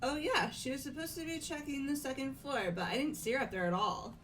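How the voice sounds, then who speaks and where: A woman playing an earnest, brisk teenage girl in a fan game dub, with a direct delivery. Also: high-pitched, a bit bright. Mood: concerned. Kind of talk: amateur game voice acting.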